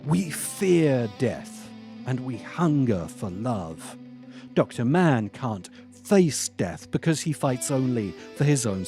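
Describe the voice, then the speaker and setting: A man narrating a scripted video essay as its host, speaking deliberately with dramatic pauses and emphasis. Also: mid-range voice, dark tone. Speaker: host of a film commentary channel.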